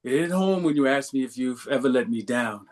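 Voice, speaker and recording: A man's voice: medium pitch, expressive, brisk, lightly acted. A man playing an anxious, tender grown son on his wedding day, in a live screenplay table read. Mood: relieved, affectionate. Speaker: actor reading a script.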